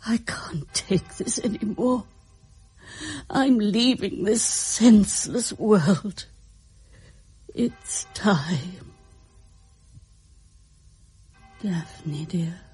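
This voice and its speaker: An actress playing a tender, devoted dying woman, weak and in pain, breathy, in a radio drama. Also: mid-range voice, dark tone, full character voice. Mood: pained, resigned.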